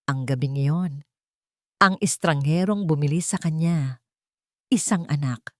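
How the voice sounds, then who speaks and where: A woman's voice: low-pitched, a little mellow, dry recording, clean studio sound. A woman storyteller giving scripted, dramatic narration of a romantic radio drama.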